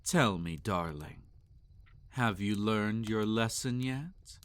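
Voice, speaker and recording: A man playing a possessive, sadistic vampire master in an intimate roleplay audio, teasing and domineering, often slipping into breathy whispers. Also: lowish voice, lively intonation, slow, dry recording. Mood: mocking, condescending.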